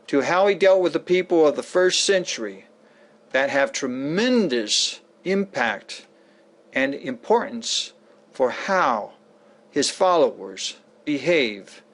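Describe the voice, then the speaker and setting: A man giving a dramatic, sermon-like scripted lecture reading, with emphatic, deliberate phrasing and a theatrical delivery. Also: fairly high pitch, fairly bright tone. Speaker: author reading a sample of an e-book lecture.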